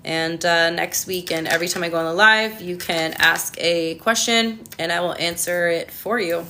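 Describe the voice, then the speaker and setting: Young Canadian woman, tarot livestream host, low-ish pitch, bright forward tone, casual and rambling. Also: moderate pace, a little hiss or hum. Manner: chatty, reads viewer comments aloud, frequent ums. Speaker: tarot reader.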